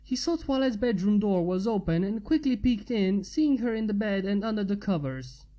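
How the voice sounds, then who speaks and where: A woman's voice: medium pitch, lively intonation, moderate pace, warm tone. A woman reading a scripted story as its narrator. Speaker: fan fiction narrator.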